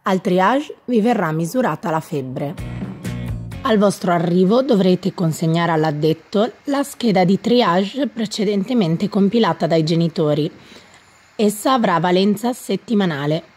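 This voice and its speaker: A woman's voice: on the low side, expressive, unhurried, darkish tone. A woman reading scripted instructional narration, addressing young athletes in an explanatory manner. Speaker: gym staff narrator. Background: background music.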